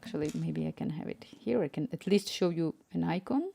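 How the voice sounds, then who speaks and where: Woman, Ukrainian accent with Spanish touch, mellow low voice, chatty streamer, mutters while coding. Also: lively intonation, a little fast, creaky. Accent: Ukrainian with Spanish influence. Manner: chatty, distracted, reads chat aloud, murmurs to herself. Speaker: live-streaming app developer. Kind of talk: casual live-stream chat while coding. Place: home room.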